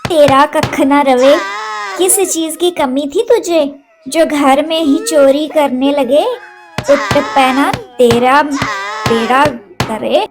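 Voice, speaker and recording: A woman's voice: high-pitched, slow pace, over music. A woman voicing a haughty, accusing rich female bird in a cartoon story, scolding and demanding. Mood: furious. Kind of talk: Hindi cartoon story dialogue.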